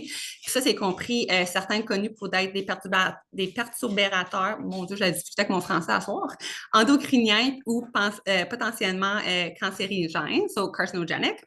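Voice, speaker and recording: Québécoise woman in her thirties, bright forward tone, chatty presenter with lots of fillers. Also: medium pitch. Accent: Québécois French. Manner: chatty, many fillers, mixes in English words. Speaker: brand consultant presenting. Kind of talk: casual presentation.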